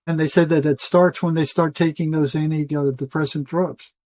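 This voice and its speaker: A man's voice: fairly high pitch, steady tone, moderate pace, darkish tone, no echo, clean studio sound. An older man talking as an interview guest in an insistent, emphatic way, repeating phrases for stress. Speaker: interview guest, retired psychotherapist. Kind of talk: conversational interview monologue.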